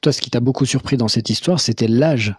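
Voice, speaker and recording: A man hosting a call-in live stream, with a mid-pitched voice in a dry, close recording. He comments in a measured way, hesitating with frequent "euh" sounds. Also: breathy edge, no room sound. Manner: measured, many "euh" fillers, asks short questions. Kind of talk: live call-in talk show.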